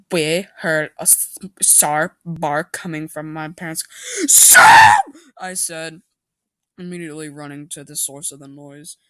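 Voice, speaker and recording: A man's voice: on the high side, a bit bright, clean tone, no room sound. A man doing a mocking amateur reading of a story, with sarcastic asides and an exaggerated delivery.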